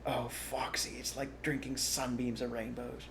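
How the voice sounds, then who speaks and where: A man's voice: mid-range voice, flat delivery, measured pace, noisy background, natural voice. Man doing a cheery storybook badger. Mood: rapturous. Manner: chatty, rapturous. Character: cheery old-fashioned male badger.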